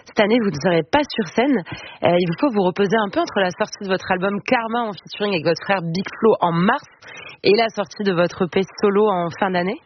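A man's voice: sits high, brisk, slight background noise. A man presenting a culture programme on the radio, reading scripted items and interviewing. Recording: dull radio sound over music bed. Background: music.

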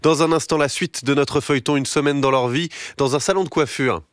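A man's voice: a little high, fairly even intonation, brisk, noisy background. A man presenting a live radio morning programme as its host. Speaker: radio news show host.

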